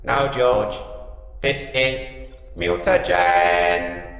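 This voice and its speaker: A man's voice: fairly low pitch, very slow, a bit bright, roomy sound, big-room sound, soft background music. A man playing a grandiose, villainous robot princess who makes cold, portentous pronouncements in a comic audio drama. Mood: indignant.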